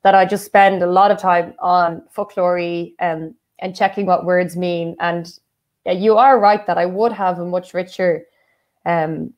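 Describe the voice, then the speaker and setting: A woman's voice: fairly low pitch, fairly even intonation, unhurried, darkish tone. An Irish woman, a writer and translator, talking as a guest in a casual interview and thinking aloud with many fillers. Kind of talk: casual conversation.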